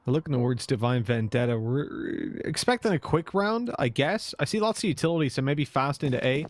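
A man with an Irish accent softened by American tones, casting a competitive shooter match with chatty commentary that climbs with the action. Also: animated, brisk. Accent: Irish, with an American lilt. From Ireland. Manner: chatty, laughs easily, rises in pitch with the action. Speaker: esports caster. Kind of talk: play-by-play and color commentary.

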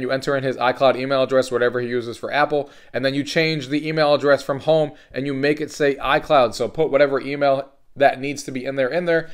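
A man with a mid-pitched voice hosts a casual tech tutorial. He talks quickly and walks through each step in an instructional manner, repeating key points for emphasis. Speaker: host of a tech tutorial channel.

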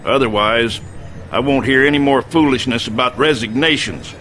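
An actor playing an older American army general in a game cutscene, gruff and folksy with emphatic delivery. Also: male voice, medium pitch, normal speed, bright, forceful tone, a little hiss or hum, lightly acted, rough recording. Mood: gruff, stern. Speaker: military general.

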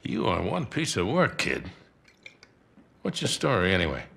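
An actor playing a gruff, wry middle-aged American crook in a naturalistic video game scene, with a folksy, unhurried manner. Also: male voice, low voice, huge pitch swings, fairly bright tone, a little hiss or hum, exaggerated voice. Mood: wry, probing.

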